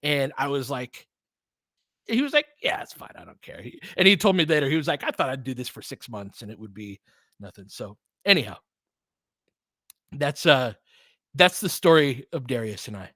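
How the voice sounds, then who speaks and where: A podcast host chatting casually with viewers, telling a rambling story full of fillers and restarts. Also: male voice, medium pitch, very animated, normal speed, long pauses, a bit bright, no echo, clean studio sound. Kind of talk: casual livestream chat answering viewers.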